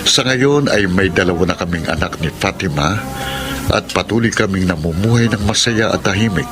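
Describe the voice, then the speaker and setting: Earnest Filipino boyfriend, music underneath. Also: male voice, deep voice, animated, moderate pace. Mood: earnest, reflective. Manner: earnest, defensive when arguing. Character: weary, exasperated young boyfriend telling his love story. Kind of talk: Tagalog radio drama acting, letter narration. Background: background music.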